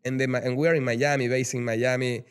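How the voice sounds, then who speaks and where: Venezuelan man with a smooth voice. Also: mid-range voice, fast. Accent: Venezuelan Spanish. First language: Spanish. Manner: animated, restarts and repeats words, tags sentences with "though". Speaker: guest, rum brand ambassador. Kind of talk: casual podcast interview. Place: lives in Miami.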